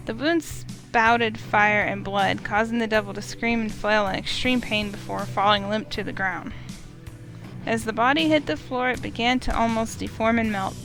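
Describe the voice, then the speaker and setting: A woman reading a visual novel aloud in first person, steady and expressive, with small slips. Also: medium pitch. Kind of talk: first-person story narration.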